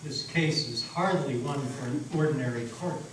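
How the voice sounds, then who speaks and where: A man playing a suave, sinister devil who poses as a gentleman, formal and boastful, in a dramatic reading. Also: medium pitch, moderate pace, close to an everyday voice. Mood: coolly sinister. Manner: formal, boastful, self-assured. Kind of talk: dramatic stage reading of a story. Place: meeting house. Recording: echoey hall, distant mic, faint noise.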